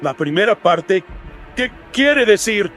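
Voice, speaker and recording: Anguished, high-voiced father. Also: big pitch swings, slow pace, a bit bright, faint music behind, heavily acted. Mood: indignant. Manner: agitated, melodramatic. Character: anguished, indignant father of a dead bride. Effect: pitch-shifting voice filter. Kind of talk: horror radio drama acting.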